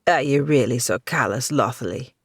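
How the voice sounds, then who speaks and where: A reader voicing a weary court steward who speaks briskly and sounds exasperated. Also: male voice, mid-range voice, slightly rough, no room sound, studio quality, pitched below the performer's own voice.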